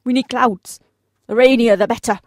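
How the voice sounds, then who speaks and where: A woman's voice: on the high side, steady tone, moderate pace, dry recording, studio quality, natural voice. A British woman playing a genteel, unflappable office boss in a comic radio play, polite, breezy and formally businesslike. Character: genteel, unflappable female office boss making absurd requests.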